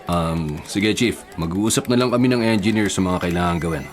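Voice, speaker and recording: An actor with a Filipino accent playing a puzzled, earnest, compliant racing team staffer in a radio drama. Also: male voice, deep voice, a little fast, natural voice. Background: music.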